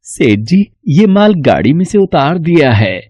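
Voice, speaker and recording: A man plays a tired, worried poor labourer in a moral story. His voice is fairly high and expressive, with faint music behind. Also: a little slow, husky, dry recording, exaggerated voice. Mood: weary.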